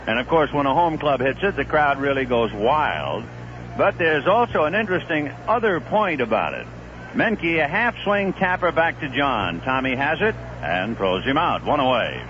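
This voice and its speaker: A man calling baseball on the radio in the 1970s, his fairly high voice swinging widely as he tells stories between pitches and climbs on the big plays. The sound is muffled old radio with a clear hiss underneath. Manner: relaxed storytelling delivery, rising sharply on big plays. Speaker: play-by-play announcer. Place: broadcast booth at a stadium.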